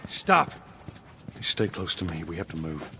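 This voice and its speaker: A man playing a gruff, weary, stubborn middle-aged survivor in a video game, terse and gravelly. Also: low voice, very animated, unhurried, muffled recording, noisy background. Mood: tense, urgent. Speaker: voice actor. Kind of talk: naturalistic dramatic game acting.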